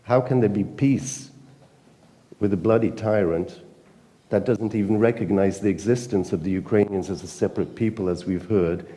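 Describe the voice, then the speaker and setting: A man with a British accent, speaking earnestly and emphatically at a conference panel, pausing often with fillers. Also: on the low side, warm tone, big-room sound. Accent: British English. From Britain. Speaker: panel speaker, newspaper editor. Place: conference hall.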